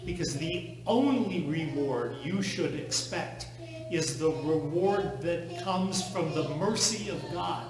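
A man in his thirties, a priest, giving a measured sermon with slow, deliberate pauses in a large church sanctuary, recorded from a far camera. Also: a little high, heavy reverb.

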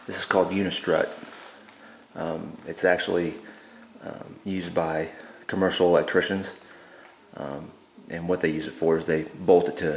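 A man giving a relaxed, plain-spoken DIY walkthrough in a garage, with lots of ums and uhs, recorded on a handheld camera. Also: sits low, reverberant, poor audio. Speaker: DIY builder showing his work. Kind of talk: casual how-to walkthrough. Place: indoor garage.

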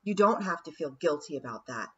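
A woman's voice: deep voice, animated, a little fast, sharp tone, slightly rough, far from the mic, highs cut off, heavily acted. A woman doing a girl's urgent, insistent inner voice. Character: young girl's urgent inner voice, sharp and practical.

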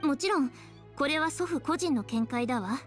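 High-voiced, earnest young noblewoman. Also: animated. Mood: solemn, formal. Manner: formal, stiff, halting when flustered. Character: earnest, dutiful young noblewoman, easily flustered. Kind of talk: Japanese anime game voice acting. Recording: faint music behind game dialogue.

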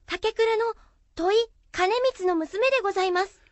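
A woman's voice: very high-pitched, moderate pace, no room sound. A woman playing a polite, shy young samurai's daughter with deferential old-fashioned speech in a radio drama. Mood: shy, respectful. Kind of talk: Japanese period radio drama acting.

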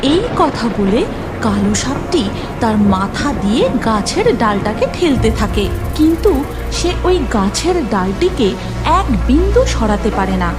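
A woman's voice: on the high side, sing-song, measured pace, music in the background. A woman narrator reading a scripted fairy tale for a cartoon.

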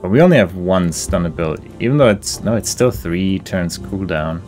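A man's voice: low voice, expressive, normal speed, darkish tone, soft background music. A man giving casual video game playthrough commentary, reading out details and thinking aloud in an understated, musing way. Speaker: gaming video host.

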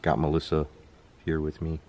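A man in his thirties hosting a vlog, chatting to the camera and reading off viewer names while exploring a dark abandoned stadium at night. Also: a bass voice, completely flat delivery, moderate pace. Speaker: YouTube host. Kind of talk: casual vlog narration. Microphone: handheld camera held close.

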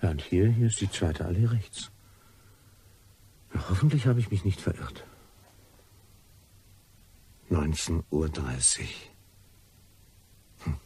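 An actor playing a nervous, apologetic man in a German crime radio play, with a very husky, breathy voice, anxiously thinking aloud. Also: deep voice, unhurried, long pauses, mellow, full character voice. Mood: anxious, tense. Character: nervous, apologetic man waiting to hand over money.

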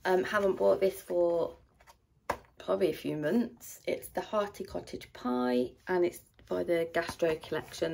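A young woman chatting casually through a grocery haul in a rambling way, her voice low and a little rough from a dry throat. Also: darkish tone, slight background noise, low-quality recording. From United Kingdom. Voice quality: low, gravelly voice. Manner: chatty and rambling, with many ums and likes. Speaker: vlogger. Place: at home.

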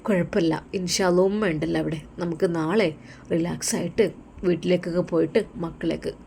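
A woman voicing a gentle, caring husband who speaks softly and tenderly to his wife. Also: lowish voice, fairly even intonation, a little fast, vocal fry, slight background noise. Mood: soothing. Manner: soft, tender, reassuring.

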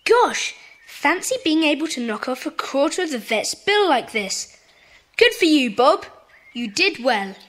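A confident British schoolboy takes charge briskly and kindly. The voice is high and bright, as in a children's audio play. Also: female voice, very animated, full character voice. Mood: confident, encouraging. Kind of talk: children's audio drama acting.

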